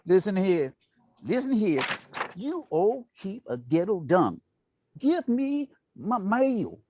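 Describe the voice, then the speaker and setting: A man's voice: sits high, big pitch swings, slow, a little mellow, a little hiss or hum, exaggerated voice. A man reading the part of an elderly neighbor at a table read, mock-polite and needling. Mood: indignant.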